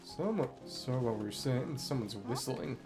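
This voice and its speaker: A man streams a video game, thinking aloud in a casual, musing way. His pitch sits in the middle of the male range, and faint game music plays behind him. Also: animated, normal speed.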